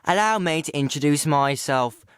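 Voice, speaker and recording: Cheeky cockney huckster played by a man, rambling asides, slightly high voice, smooth and crisp, dry close sound. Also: expressive, normal speed, fairly bright tone, no echo. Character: brash cockney male theater huckster. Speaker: pre-show announcer. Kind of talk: comic audio drama monologue.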